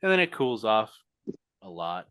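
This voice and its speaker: A man's voice: lowish voice, very animated, normal speed, fairly bright tone, clean tone, no room sound. A man chatting on a podcast over a call, apologetic and self-deprecating. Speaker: podcast co-host. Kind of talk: casual chat. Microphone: remote call mic.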